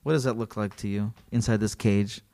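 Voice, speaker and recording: A man's voice: fairly low pitch, brisk. Joking male podcast host reading aloud. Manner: animated, joking, laughs often. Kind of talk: casual chat, reading a story aloud.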